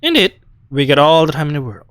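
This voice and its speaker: A man's voice: fairly high pitch, big pitch swings, fast, fairly bright tone, no room sound. A man giving casual, playful game commentary, reacting in short murmured remarks on a close mic. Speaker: gaming video host.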